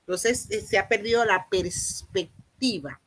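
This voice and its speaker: A woman with a Panamanian Spanish accent giving an explanatory lecture, haltingly, repeating and rephrasing phrases and posing rhetorical questions. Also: medium pitch, a bit bright, slightly rough, rough recording. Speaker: university lecturer in teacher training.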